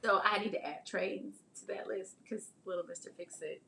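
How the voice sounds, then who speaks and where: A woman's voice: mid-range voice, fairly quick, creaky, pushed and bright. A woman hosting a casual interview, chatting and telling stories in a conversational way. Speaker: host, marketing strategist and children's book author. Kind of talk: casual interview chat with storytelling.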